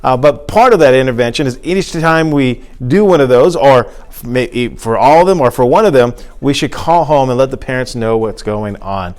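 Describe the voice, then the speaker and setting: A man's voice: medium pitch, expressive, moderate pace, darkish tone. A man giving an informal instructional talk as a teacher, explaining things conversationally and repeating phrases for emphasis. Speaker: teacher and presenter.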